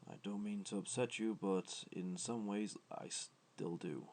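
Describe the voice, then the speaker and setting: A man voicing a gentle, ancient god who speaks softly, tenderly and with measured hesitation, in an ASMR comfort roleplay. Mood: wistful, candid. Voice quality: low, soft, creaky, breathy at times.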